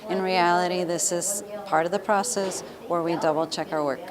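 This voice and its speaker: A woman's voice: on the low side, fairly even intonation, moderate pace. An American woman explaining things as an official spokesperson in a news interview. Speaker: government communications director, interviewee. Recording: busy room chatter behind.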